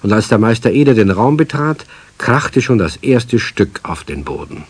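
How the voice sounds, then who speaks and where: A man narrating a scripted children's radio story as its storyteller, with a husky voice. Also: medium pitch, very animated, moderate pace, very noisy.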